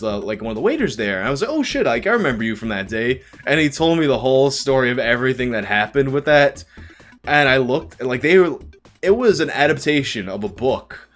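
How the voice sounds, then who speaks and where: A man's voice: mid-range voice, a bit bright. A young man answering viewer questions on a livestream, talking in a candid, rambling, profane way. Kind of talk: casual livestream Q&A.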